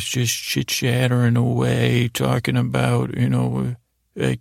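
A man hosting a sleep podcast, rambling softly and hesitantly through a lulling bedtime monologue, often repeating himself and trailing off. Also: mid-range voice, creaky, no echo, studio quality. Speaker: podcast host.

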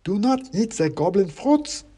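A man's voice: high voice, sing-song, unhurried, dark tone, slightly rough, pitched above the performer's own voice, exaggerated voice. A man voicing a gloomy male wooden nutcracker soldier who speaks in stiff, clipped phrases with odd word order. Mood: warning.

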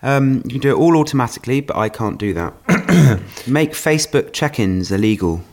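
A man's voice: mid-range voice, expressive, normal speed, a little mellow. A man co-hosting a podcast in casual chat, giggly and playful with frequent laughs. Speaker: podcast co-host.

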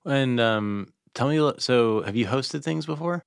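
A man's voice: mid-range voice, normal speed, creaky, smooth, dry recording. A man hosting a casual podcast interview in a studio, asking informal, rambling questions.

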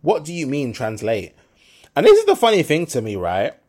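A Brummie man talking on his podcast in a lively, opinionated way. His pitch leaps around a lot, and he often drops to a mutter before rising loud again. He is blunt and swears freely. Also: moderate pace. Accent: Birmingham English. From Birmingham, England. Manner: animated, blunt, swears freely, swings between loud and quiet. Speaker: podcast host. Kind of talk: casual opinionated chat.

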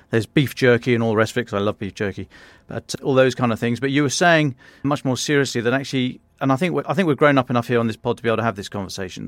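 A man's voice: medium pitch, dry recording. A man hosting a podcast interview, talking conversationally and often repeating words as he goes. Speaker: podcast host.

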